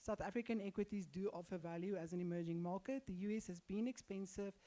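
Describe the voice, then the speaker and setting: Woman, mid pitch, measured panelist remarks. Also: dark tone. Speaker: panelist on investment.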